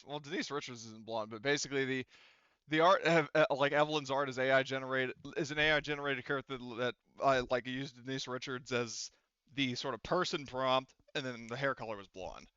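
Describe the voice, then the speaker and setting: A man's voice: mid-range voice, fairly quick, pushed and bright, clear, resonant tone, no echo, highs cut off. A man narrating a tabletop roleplaying game as game master in a casual, sometimes profane way.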